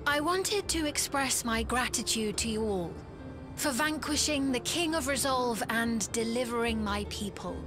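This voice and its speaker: Lady doing a sad polite young game queen, soft and slow, resigned, big music behind. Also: fairly high pitch, natural voice. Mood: remorseful. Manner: soft, formal, regretful. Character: gentle, sorrowful young queen, formal and resigned. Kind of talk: dramatic video game acting.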